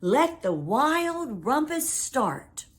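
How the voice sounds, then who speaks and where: A woman's voice: high-pitched, big pitch swings, drawn-out words, voice pushed up, exaggerated voice. A bold, mischievous little storybook boy, put on by a woman, gives emphatic commands. The voice is raised well above ordinary talk and swings up and down with authority. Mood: commanding.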